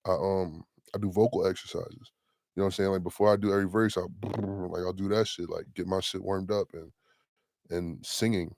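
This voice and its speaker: A young man in his twenties, a rapper with a deep voice, talking casually and loosely in an interview. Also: steady tone, gravelly, darkish tone, dry recording. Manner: laid-back, slangy, drawn-out affirmations. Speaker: rapper, guest.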